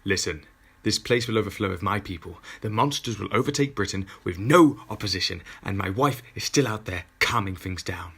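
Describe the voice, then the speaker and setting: Man acting a gravelly, anxious clan leader. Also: fairly low pitch, lively intonation, a little fast, few pauses, bright, forceful tone, full character voice. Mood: urgent. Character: anxious, forceful husband and ally leader. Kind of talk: amateur audio drama acting.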